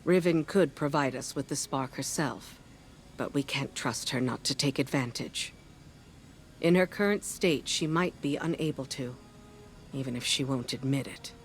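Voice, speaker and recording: A woman's voice: very deep voice, moderate pace. A wary female military commander briefs in a businesslike, wry way. It is dry, pragmatic game dialogue over faint background noise. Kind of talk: video game mission dialogue.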